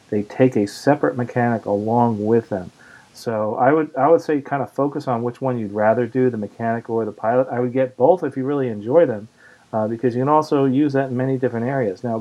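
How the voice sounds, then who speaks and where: A man's voice: medium pitch, a little mellow. Male podcast host, slightly muffled audio. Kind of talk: casual panel discussion, answering listener questions.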